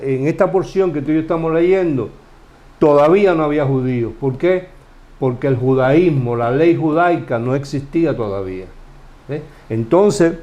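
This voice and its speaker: A man from Cuba with a Cuban Spanish accent, explaining Bible passages in a relaxed study conversation, asking questions and restarting phrases as he goes. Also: on the high side, highs cut off. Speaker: Bible teacher.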